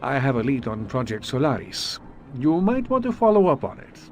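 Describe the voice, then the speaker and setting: Man playing a lively Russian-accented Soviet agent. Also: medium pitch, normal speed, soft background music, close to an everyday voice. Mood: guarded, measured. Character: guarded, wry male Soviet agent. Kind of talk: video game acting.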